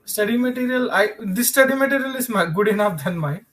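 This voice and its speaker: Male coding tutor, South Indian accent, native Telugu speaker, high sharp voice, informal, repetitive live-class delivery. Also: expressive, a little hiss or hum. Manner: repetitive, halting explanations that circle back to restate points. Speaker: programming instructor. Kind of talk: informal live online lesson.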